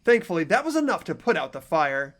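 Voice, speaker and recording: Expressive, high-pitched man reading dramatically. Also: brisk, faint music behind. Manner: theatrical, expressive delivery. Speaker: player voicing and narrating in a fan playthrough. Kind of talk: dramatic visual novel read-aloud with casual asides.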